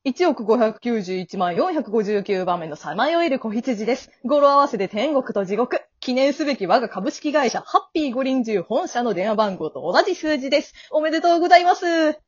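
A slightly muffled radio drama recording of a chirpy grim reaper, acted by a woman, pitching the afterlife like a polite salesperson. The delivery swings widely in pitch and stays breezy about death. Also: animated, fairly quick, hardly any pauses, no room sound. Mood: cheerful, breezy. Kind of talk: amateur radio drama acting.

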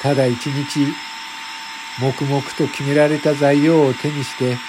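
A man's voice: mid-range voice, level tone, unhurried, background noise, close to an everyday voice. A man playing a self-conscious factory worker turned popular voice streamer, narrating a confessional radio drama monologue. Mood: downcast, resigned. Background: background music.

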